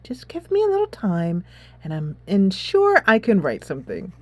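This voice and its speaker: A woman voicing a sweet, anxious high school girl in a video game, hesitant and eager to please. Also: wildly swooping pitch, normal speed, darkish tone, no room sound. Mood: hesitant, hopeful. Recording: music playing behind.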